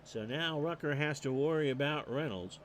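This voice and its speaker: A man doing solo play-by-play commentary on a replayed baseball game. He reads off stats with dry asides and gets louder on the big plays. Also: on the high side, moderate pace, slight background noise. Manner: stat-heavy, dry asides, rising excitement on big plays. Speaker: play-by-play announcer.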